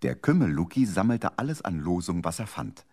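A man's voice: deep voice, sing-song, moderate pace, creaky, over loud music. A man narrating a children's radio play as a scripted storyteller.

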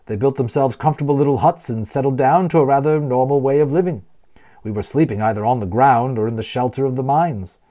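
A man reading a children's novel aloud as a storyteller, expressively and with deliberate emphasis on key words. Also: mid-range voice, warm tone. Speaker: audiobook narrator and storyteller.

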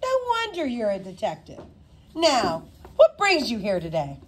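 A woman's voice: mid-range voice, huge pitch swings, measured pace, breathy edge, full character voice. A woman voicing a proud, firm female school head in a storybook, speaking formally and with assurance. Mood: formal, assured.

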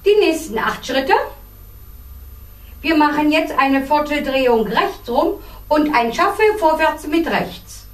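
A woman teaching line dance step by step, counting out the beats in a measured, deliberate way. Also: high voice. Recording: roomy echo, distant microphone.

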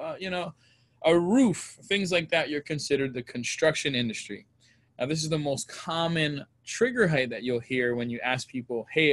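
Easygoing man teaching a safety course. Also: mid-range voice. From Hawaii. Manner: relaxed, conversational, plain-spoken. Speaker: safety instructor. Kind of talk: online training lecture. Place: small room at home, indoors. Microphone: webcam on a video call.

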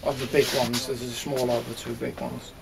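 A man's voice: medium pitch, little pitch movement, a little fast, gravelly, a crowd in the background. An older man giving a factory tour, rambling with frequent restarts, recorded on a camera mic in a large warehouse. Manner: rambling, restarts, hands gesturing while talking. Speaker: audio company founder. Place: large warehouse with shelving, indoors.

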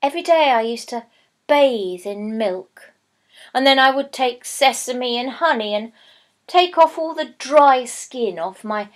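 A wry, practical mother speaks in a dry, confiding way, as a woman storyteller voices her. The voice sits high and moves at an unhurried pace. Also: lively intonation.

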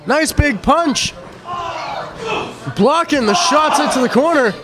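A man doing energetic color commentary at a live wrestling show, loud and losing his voice. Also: very high-pitched, slow pace. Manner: energetic, loud, joking asides. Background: loud crowd and room noise.

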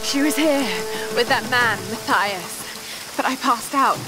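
A woman's voice: fairly high pitch, a little slow, natural voice, low-quality recording. An actress playing a weary, anxious but determined young female adventurer in a video game, earnest and urgent. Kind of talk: video game cutscene acting. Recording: loud rain and thunder ambience behind.

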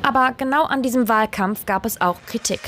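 A woman's voice: a little high, a little fast, a bit bright, a little hiss or hum. A woman reading a scripted voice-over for a television news report. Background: music.

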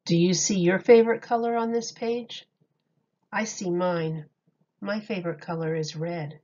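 Female storyteller for kids' picture book; unhurried, expressive, mid-pitched, slightly dull lo-fi sound. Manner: slow, deliberate, dramatic emphasis on key words. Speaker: teacher reading a picture book aloud. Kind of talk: expressive children's read-aloud.

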